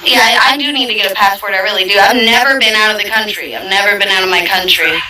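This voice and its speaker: A woman's voice: medium pitch, fast, a crowd in the background. A woman, chatting playfully and teasing her conversation partner. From Virginia, United States. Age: 20s. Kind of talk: casual chat. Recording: echoey, distant, busy background. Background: dog barking.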